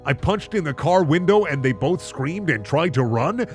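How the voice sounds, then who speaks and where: A man's voice: a little high, expressive, moderate pace, soft background music, pitched above the performer's own voice, natural voice. Man acting a smug, weary slasher killer. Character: weary, smug male slasher killer narrating his murders.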